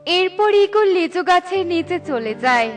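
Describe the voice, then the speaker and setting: Woman doing kids story narration, high voice, bit of music under it. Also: expressive, measured pace. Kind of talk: scripted children's story narration. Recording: music behind the voice. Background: background music.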